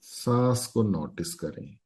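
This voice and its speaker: Very slow-paced male meditation guide. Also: on the low side. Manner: calm, instructing in short phrases with long pauses. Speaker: meditation instructor.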